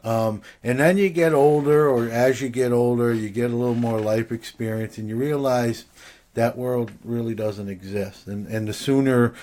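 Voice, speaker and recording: A man's voice: medium pitch, steady tone, moderate pace, dark tone. A man talking as a podcast guest, reflective and hesitant, his sentences full of fillers and restarts. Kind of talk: casual chat.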